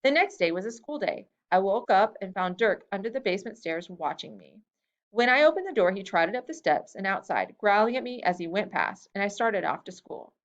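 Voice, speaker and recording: Woman reading a story, lively pitch. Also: brisk, muffled recording. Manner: expressive reading that lifts pitch for quoted lines. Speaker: reader. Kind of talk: storybook reading of a memoir-style tale.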